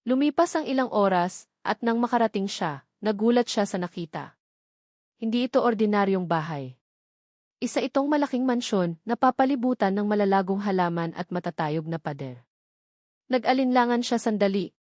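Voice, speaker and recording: A woman's voice: medium pitch, lively intonation, moderate pace, darkish tone, no room sound, clean studio sound. A woman reading scripted narration of a romance story as its narrator.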